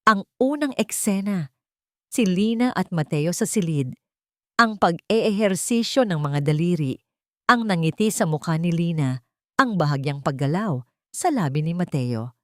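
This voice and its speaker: A woman with a Filipino accent narrating a scripted dramatic story in measured, dramatic phrases. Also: on the low side, animated, fairly bright tone, dry recording, clean studio sound.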